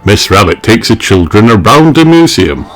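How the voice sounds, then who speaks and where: A man narrating a children's storybook in an expressive, deliberate read-aloud style. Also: fairly low pitch, soft background music. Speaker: audiobook narrator.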